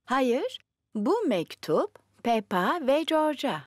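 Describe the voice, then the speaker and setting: A woman voicing a kind, capable mummy pig with gentle expression in a children's cartoon dub. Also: high voice, big pitch swings, slow pace, heavy background noise, exaggerated voice.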